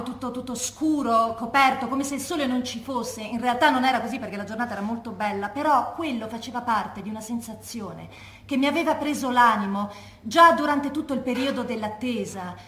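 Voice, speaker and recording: A woman's voice: fairly high pitch, a bit bright, natural voice. An actress playing a troubled former partisan woman, giving introspective, conversational testimony in a theatrical monologue. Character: reflective, troubled former female partisan remembering her attack. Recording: echoey room with background noise.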